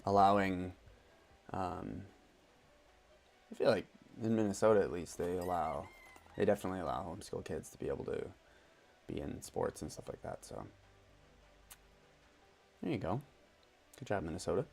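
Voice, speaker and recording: A man's voice: sits low, normal speed, gravelly, pauses often. A man hosting a casual gaming livestream, chatting loosely and reading out viewer messages. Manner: relaxed, chatty, reads out chat messages. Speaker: streamer host.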